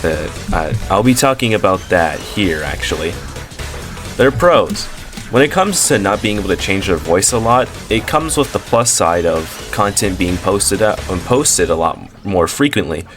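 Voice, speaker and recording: A man's voice: sits low, lively intonation, unhurried, over music. A man hosting a commentary video, talking in a casual, rambling way with frequent fillers and self-corrections. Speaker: commentary channel host.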